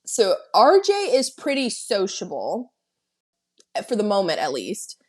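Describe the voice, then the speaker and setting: A woman co-hosting a casual podcast about films. Her voice sits at an ordinary middle pitch, and she walks through the plot in a chatty way, breaking into lively asides. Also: unhurried. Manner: chatty, retells scenes with excited quoted asides. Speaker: podcast co-host. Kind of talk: casual film-recap chat.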